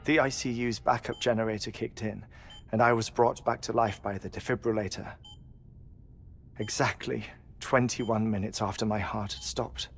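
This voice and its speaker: An actor playing a grieving, eccentric middle-aged scientist in a video game, speaking in a measured, scholarly way. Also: male voice, fairly low pitch, a little hiss or hum, lightly acted. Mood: wistful. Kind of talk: dramatic video game cutscene acting. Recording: music behind the voice.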